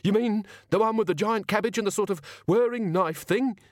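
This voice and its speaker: A man's voice: a little high, moderate pace, no room sound, clean studio sound, voice pushed up, lightly acted. A man voicing a frightened, naive guard who rambles on in an audiobook. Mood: anxious babbling. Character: terrified, babbling, naive male guard.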